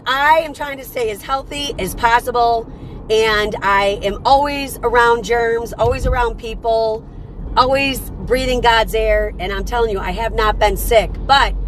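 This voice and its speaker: Upbeat woman with a slightly raspy voice. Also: fairly high pitch, slight background noise, poor audio. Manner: upbeat, chatty, greets viewers by name. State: fighting off a cold. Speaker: livestream host. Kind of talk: casual morning livestream chat.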